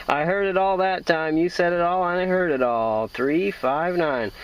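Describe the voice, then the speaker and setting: An American man talking as a radio operator, trading call signs in a halting, folksy, friendly way. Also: sits high, recorded from a distance, heavy background noise. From Iowa, United States.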